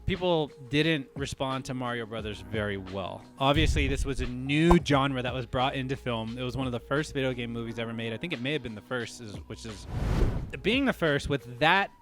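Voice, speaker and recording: A man's voice: medium pitch, brisk, music in the background. A man co-hosting a casual podcast discussion, thinking aloud with frequent restarts and asides like "you know what I mean". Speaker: podcast co-host.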